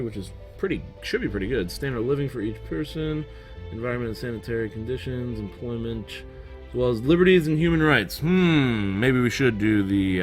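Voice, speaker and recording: Hoarse male gaming host, musing aloud, relaxed play-along commentary. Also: medium pitch. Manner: thinking aloud, musing while playing. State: losing his voice. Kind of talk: casual let's-play commentary.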